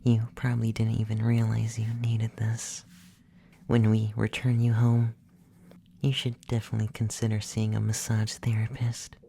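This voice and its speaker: Casting: performer as gentle, effeminate young male alien abductor, ASMR roleplay; mellow half-whisper, soothing matter-of-fact delivery, some background noise. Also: mid-range voice, completely flat delivery, natural voice. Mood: calm, curious. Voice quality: soft, breathy, often whispered. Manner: slow, soothing, softly spoken, matter-of-fact.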